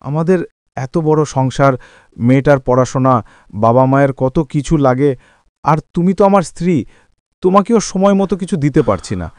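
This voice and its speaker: An actor playing a worried, dutiful young husband in a cartoon drama, speaking in an earnest, pleading way. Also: male voice, on the high side, brisk, long pauses, darkish tone, clean tone, dry recording, natural voice. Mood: worried, dejected.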